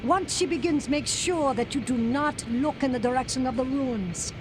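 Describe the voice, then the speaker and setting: A woman's voice: high voice, normal speed, over music, natural voice. A woman voicing a stern, devoted occult military officer in a video game, commanding and fervent.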